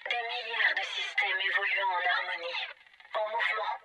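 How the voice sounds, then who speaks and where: An actress playing a melancholic, pensive AI guardian in a video game, speaking in a measured, questioning, philosophical way through a synthetic processed effect. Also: female voice, medium pitch, wildly swooping pitch, gravelly, bright, forceful tone, slight background noise, full character voice. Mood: awed, ominous. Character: melancholic, pensive female AI guardian of an ancient alien installation. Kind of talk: scripted dramatic game monologue.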